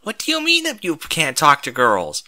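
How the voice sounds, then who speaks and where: Man playing pony bystander, high forceful voice, excitable, blunt, exclaiming, comic fan story. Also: moderate pace, full character voice. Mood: excited, incredulous. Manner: exclaiming, incredulous. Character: random excitable, blunt pony from the street crowd.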